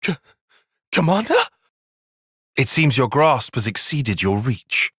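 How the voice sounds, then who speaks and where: A man's voice: medium pitch, very animated, slow pace, a bit bright, slightly rough, no echo, heavily acted. A man playing an analytical, guarded young soldier strategist in a video game, speaking precisely and articulately with a dry, sarcastic edge. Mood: alarmed, urgent. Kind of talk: video game cutscene voice acting.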